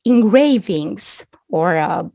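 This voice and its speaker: A woman in her fifties from Romania, speaking with a Romanian accent as a guest on a video-call chat, thoughtful and quick to laugh. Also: medium pitch, animated, gravelly, mellow, dry recording, studio quality. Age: 50s. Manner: slow, thoughtful, laughs often. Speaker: guest author. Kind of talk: casual video-call interview.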